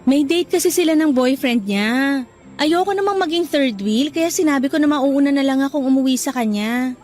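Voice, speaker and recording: A Filipino actress playing a soft-hearted, devoted young girlfriend in a radio drama, emotional and imploring. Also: high-pitched, moderate pace, soft background music, close to an everyday voice. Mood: shy, light.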